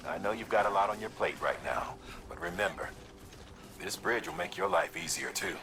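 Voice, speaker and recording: A man acting a steady, earnest mission handler who gives measured briefings in a video game. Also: deep voice, background noise. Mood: friendly briefing. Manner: measured, explanatory.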